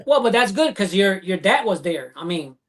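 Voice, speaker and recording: A man hosting an online interview show over a video call, effusive and halting with many fillers and restarts. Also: sits high, sing-song. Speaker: show host.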